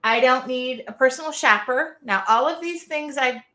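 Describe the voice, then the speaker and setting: A woman with a nasal Midwestern accent hosting an art journaling live stream from her art studio, talking in a loud, chatty, self-deprecating way. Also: high voice, normal speed. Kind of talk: casual live-stream chat.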